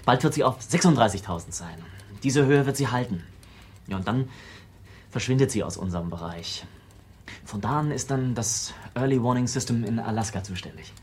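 A man's voice: mid-range voice, animated, moderate pace, darkish tone, slight background noise, lightly acted. An actor playing a confident male computer insider in a German radio play, explaining things matter-of-factly. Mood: matter-of-fact, assured. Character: Chris, confident young male computer insider showing a secret control room.

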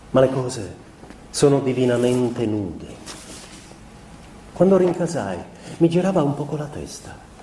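An actor playing a sensitive, troubled young husband in a radio play, speaking a measured, introspective and sincere literary confession. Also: male voice, high-pitched, warm tone. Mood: wistful, wondering. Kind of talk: dramatic literary monologue, radio theatre. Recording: roomy stage sound with background noise.

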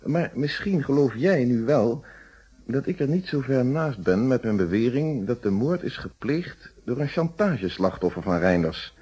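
A man's voice: mid-range voice, expressive, moderate pace, warm tone, slightly rough. An actor playing a blunt, probing police inspector in a radio play, stern and lecturing as he questions people. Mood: wry. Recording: muffled vintage radio play recording.

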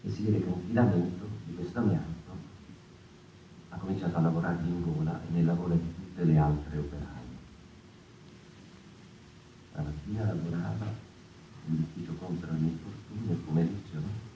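An actor playing a rambling, impassioned storyteller in a conversational theatre monologue, digressing and seeking agreement. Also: male voice, low voice, steady tone, brisk, dark tone, natural voice. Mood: musing. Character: rambling, impassioned male storyteller of the mouse folk. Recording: echoey, distant, noisy live theatre recording.

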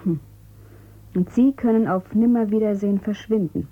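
A woman's voice: medium pitch, moderate pace, lightly acted. An actress playing a young woman of eighteen who coaxes and persuades, in a radio drama. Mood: coaxing. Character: persuasive eighteen-year-old girl, quietly coaxing. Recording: dull, lo-fi radio sound.

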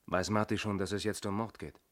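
Casting: male actor for inspector's colleague in radio crime play; low voice, measured, sympathetic, skeptical questioner. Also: fairly even intonation, a bit bright, lightly acted. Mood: sober, sympathetic. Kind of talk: German radio crime drama acting.

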